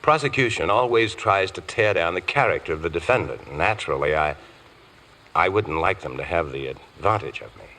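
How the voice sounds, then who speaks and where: An actor playing an earnest, stubborn defence barrister in an old radio drama, urgent and insistent, often starting hesitantly. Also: male voice, deep voice, expressive, a little fast, bright, forceful tone, exaggerated voice. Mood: earnest, probing.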